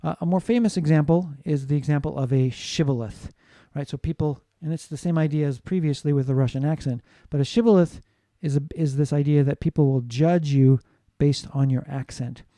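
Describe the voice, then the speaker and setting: A man's voice: fairly high pitch, a little fast, hardly any pauses, dark tone, no echo. A man from the United States giving a classroom lecture on phonetics, explaining steadily and often asking "right?" to check in with students. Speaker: English teacher.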